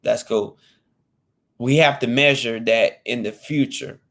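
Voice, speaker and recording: A man's voice: mid-range voice, steady tone, unhurried, a bit bright. Earnest man in his thirties, casual. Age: 30s. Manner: earnest and repetitive, restating points with informal slang. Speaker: fitness vlogger. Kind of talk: casual talk to camera.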